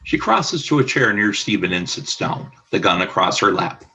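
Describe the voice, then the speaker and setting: Bright, gravelly male narrator. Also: lowish voice, very animated, normal speed, rough recording. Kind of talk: scripted narration of radio play stage directions.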